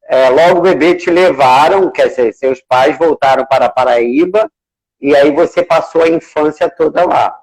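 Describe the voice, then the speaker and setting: A man's voice: on the high side, normal speed, a little mellow, low-quality recording. A man hosting a casual live chat, enthusiastic and full of hesitant restarts. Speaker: host.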